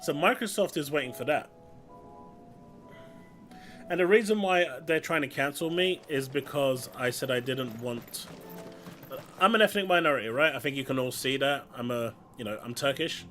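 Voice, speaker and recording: A man's voice: mid-range voice, moderate pace, sharp tone. A man with a London accent chatting casually and opinionatedly while streaming a video game. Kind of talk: casual chat while gaming. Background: game music.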